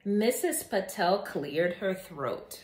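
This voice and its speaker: A woman presents children's books in a lively, swooping voice with an unhurried pace. Her delivery is enthusiastic and deliberate, like a storyteller. The room adds noticeable echo. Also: medium pitch, a little hiss or hum. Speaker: book reviewer and children's read-aloud host. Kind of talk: scripted-sounding channel introduction and animated children's story reading.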